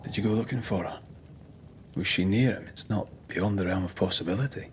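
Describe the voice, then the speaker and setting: An actor playing a devoted, gentle ghost hunter in a game cutscene, soft-spoken and earnest. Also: male voice, deep voice, a little fast, slight background noise, close to an everyday voice. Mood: concerned. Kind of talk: dramatic game cutscene acting.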